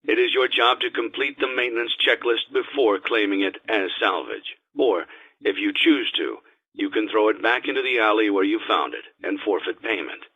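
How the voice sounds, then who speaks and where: A muffled, lo-fi cassette recording carries the low voice of a grave male instructor. He is husky and measured, reading formal game instructions with calm, ominous detachment. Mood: procedural, detached. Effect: cassette tape filter. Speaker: instructional tape narrator. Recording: dull, lo-fi cassette tape sound.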